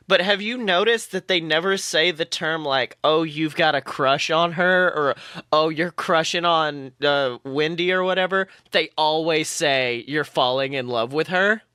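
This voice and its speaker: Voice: mid-range voice, unhurried, sharp tone, no echo, studio quality. A podcast co-host chatting casually and analytically about a cartoon, with some swearing.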